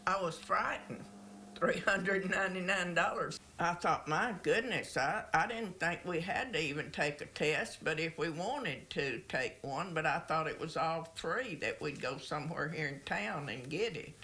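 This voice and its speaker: Bright-voiced, plainspoken woman being interviewed. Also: deep voice, expressive, a little slow. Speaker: interviewee recounting an experience. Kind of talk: unscripted interview account.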